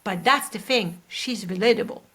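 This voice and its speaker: A woman's voice: mid-range voice, moderate pace, a bit bright, slightly rough. A woman in her late thirties with a French accent, speaking as a guest acupuncturist and educator in a casual interview, earnest and emphatic, often closing points with a tag question. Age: late 30s.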